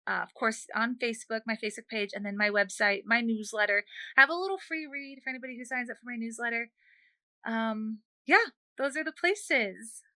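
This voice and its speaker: A woman's voice: on the high side, a little fast, sharp tone. A woman speaking as a guest author in a conversational interview, chatting and telling personal stories in an earnest way.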